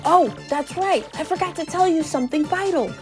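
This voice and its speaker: A woman's voice: extremely high pitch, moderate pace, faint music behind, pitched above the performer's own voice. A woman voicing an instructive professor from a video game, coaching a fighter in an emphatic, didactic manner. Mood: earnest instructing.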